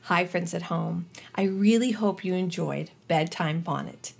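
A woman's voice: lowish voice, creaky, breathy edge, soft background music. A young woman host reading a picture book aloud expressively for young children. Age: young adult. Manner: animated, deliberate delivery for young children. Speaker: children's storytime reader and host.

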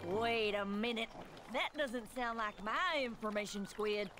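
A woman's voice: fairly high pitch, a little slow, over music, lightly acted. A woman voicing an eccentric, folksy female inventor in a video game, with a drawling way of talking. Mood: wary.

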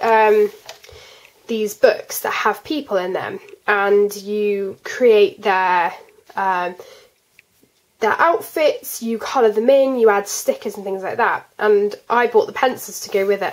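A woman's voice: medium pitch, poor audio. A fourteen-year-old girl with a congested, cold-affected voice, chatting casually as she hosts a hobby video. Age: 14, teenager. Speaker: colouring channel host. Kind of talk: casual chat to camera, showing artwork. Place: indoors at a desk.

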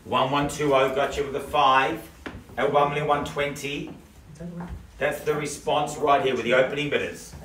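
A middle-aged man calling bids at a live house auction, cracking jokes and repeating figures in a quick patter. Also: mid-range voice, steady tone, a bit bright, slight background noise. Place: living room of a house. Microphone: camera across the room. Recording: roomy, distant camera audio.

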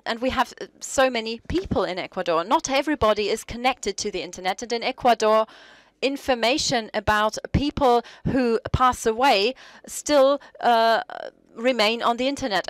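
Female interpreter, measured and pausing, higher pitch, dry booth. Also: dry recording. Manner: measured, with frequent pauses. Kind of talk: simultaneous interpretation.

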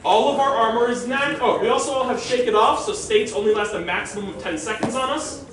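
A man's voice: extremely high pitch, fairly quick, noisy background. A man briefing a group on game rules in an emphatic, instructive way, answering questions as the organizer. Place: open-sided concrete park pavilion. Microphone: distant camera mic. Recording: echoey park pavilion, far camera, crowd chatter.

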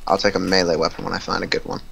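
A young man with a somewhat low voice jokes and swears through a co-op zombie game. His voice comes over chat with faint game sounds underneath. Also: level tone, rapid-fire, gravelly, a bit bright, slight background noise. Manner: joking, swears freely, breaks into playful singing. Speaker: co-op player and friend of the host. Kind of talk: casual gaming chatter.

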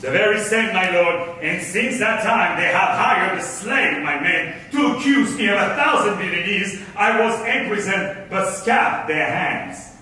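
An actor playing a scheming, vengeful merchant in classical verse on stage, with a theatrical, declamatory delivery. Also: male voice, high voice, animated, unhurried, pushed and bright, slight background noise, full character voice. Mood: sly, boastful. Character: scheming, vengeful old merchant Barabas, gleefully defiant villain. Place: large indoor auditorium stage. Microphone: distant stage microphones. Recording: echoey theatre stage from far off.

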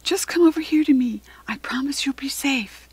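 A woman's voice: high voice, big pitch swings, measured pace, slightly rough, no echo, heavily acted. A woman voicing brave, earnest fairy girls in a children's story, with an urgent, reassuring manner.

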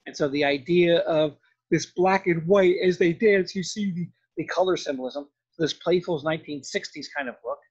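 Male lecturer, informal and enthusiastic, voice a little high and rough-edged, lo-fi voice-over. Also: moderate pace, a little mellow. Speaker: film and art history professor. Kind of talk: informal narrated slide lecture. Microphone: voice-over on slides. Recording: dull, lo-fi voice-over recording.

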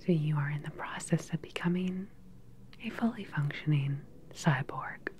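A woman playing a calm, sinister cyborg scientist in an ASMR roleplay, speaking close to the mic in a slow, deliberate, soothing and clinical way. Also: low voice, dull audio, close to an everyday voice. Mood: clinical, stern. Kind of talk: ASMR soft-spoken whispered roleplay. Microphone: close ASMR microphone.

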